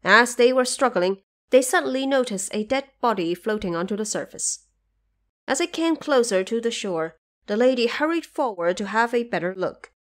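A woman reading scripted storybook narration of a classic tale in a measured, expressive storyteller's manner. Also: fairly high pitch.

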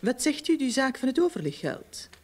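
An actress playing a brisk, formal female office secretary in an old radio drama. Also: high-pitched, very animated, darkish tone.

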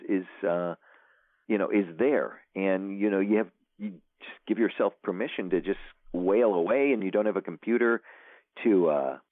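A lowish-voiced man talks over a thin, phone-like line, dry and close. He rambles easily, stopping and restarting with lots of ums and "you know"s. It sounds like a relaxed guest chatting in an interview. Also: normal speed, no room sound. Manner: rambling, many fillers and restarts. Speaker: author guest. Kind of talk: casual interview chat.

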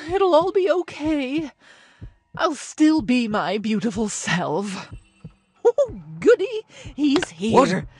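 A woman's voice: high-pitched, big pitch swings, takes it slowly, a little mellow, no room sound, exaggerated voice. A woman voicing a vain, menacing teacher, a theatrical scolding villain in a cartoonish mobile game. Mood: self-consoling, giddy. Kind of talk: exaggerated mobile game voice acting. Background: game music.

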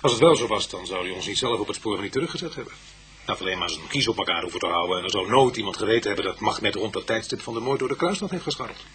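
An actor playing a blunt, colloquial police detective in a Dutch radio drama, informal and quick to butt in. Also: male voice, on the low side, expressive, noisy background, lightly acted. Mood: blunt, grumbling.

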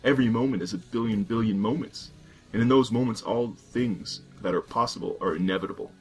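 Death as a patient, ancient figure of the end, read by a male storyteller. The voice sits very low and unhurried, each word placed with weight, faint music behind. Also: breathy edge, low-quality recording. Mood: grave. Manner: slow, grave, measured.

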